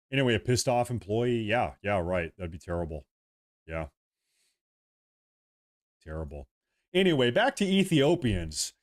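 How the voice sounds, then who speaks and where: Low-voiced man chatting on a livestream. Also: very animated, a little slow, long pauses. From United States. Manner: rambling, repeats himself, reads chat aloud. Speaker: livestream host. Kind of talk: casual livestream chat.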